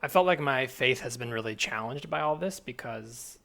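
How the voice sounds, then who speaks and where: A man playing an awkward, earnest churchgoer with a halting, tentative way of talking, in improvised audio comedy. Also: medium pitch, expressive, creaky, fairly bright tone.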